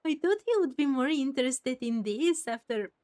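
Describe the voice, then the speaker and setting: A woman's voice: sits high, expressive, moderate pace, darkish tone. A woman giving casual let's-play commentary as she hosts a gaming video. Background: game music.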